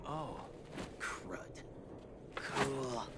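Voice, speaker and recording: A man's voice: mid-range voice, animated, very slow, heavy background noise. A man playing a laid-back, goofy teenage mutant turtle in a video game, with a slangy surfer-dude drawl. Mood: goofy, playful. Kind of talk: video game cutscene acting. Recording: loud music behind the voice.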